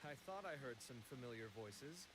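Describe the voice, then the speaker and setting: A man's voice: medium pitch, animated, moderate pace, a bit bright, breathy edge, rough recording. An actor playing a polite, friendly young man in a video game, with a courteous, easygoing delivery. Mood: polite, casual. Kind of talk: video game dialogue acting.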